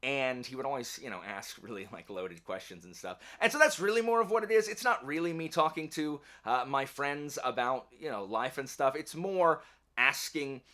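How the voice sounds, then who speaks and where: A man with a bright, forward voice at an ordinary male pitch talks casually as the host of an online channel. He rambles in a wry, self-deprecating way, as if chatting straight to his viewers. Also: big pitch swings, moderate pace. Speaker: YouTube book channel host. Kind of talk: casual channel update to camera. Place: indoor home office.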